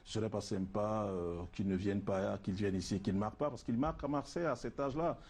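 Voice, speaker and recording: Chatty Cameroonian man, low mellow voice. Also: fast, husky. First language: a Cameroonian language. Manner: chatty, hesitant with many fillers, asides. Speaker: football pundit. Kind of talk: studio sports talk.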